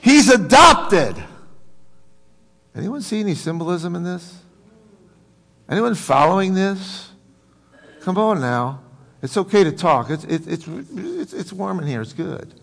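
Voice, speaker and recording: A man's voice: high voice, very animated, unhurried, gravelly, pauses often, a bit bright. An American man preaching a conversational sermon as a pastor, with a folksy, joking manner and questions put to his congregation. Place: large room, preaching to a congregation. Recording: reverberant hall.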